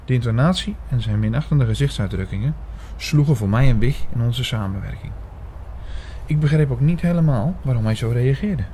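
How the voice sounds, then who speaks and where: A man acts as a puzzled investigator telling his own story in a radio play. His voice is soft-edged and mid-pitched, his telling measured and reflective. Light ambient noise sits behind him. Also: expressive, lightly acted. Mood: reflective, doubtful. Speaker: narrator and lead actor. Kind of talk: scripted audio drama narration.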